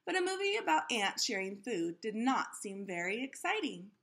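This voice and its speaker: A high-voiced woman reads a children's storybook aloud with very lively intonation. She sounds like a teacher, pausing to put questions to her young listeners and explain.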